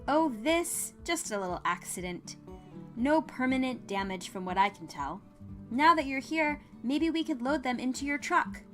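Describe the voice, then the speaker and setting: A woman acts out a little male postal clerk for an audio story. He is excitable and sarcastic, pitched high and pushed forward, with sassy exclamations. Music plays under the voice. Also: moderate pace. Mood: bright, eager. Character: small excitable sarcastic man, postal clerk in elf hat. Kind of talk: audio fiction character dialogue.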